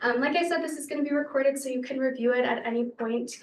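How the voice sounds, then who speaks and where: A woman's voice: high-pitched, fast talker, a little hiss or hum. A woman program manager hosting and welcoming people to an info session, friendly and with many fillers. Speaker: study abroad program manager. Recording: echoey room, distant mic.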